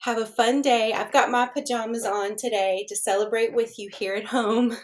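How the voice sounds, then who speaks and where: A woman's voice: on the high side, steady tone, normal speed. A woman reading a children's storybook aloud expressively, addressing her young listeners as she goes.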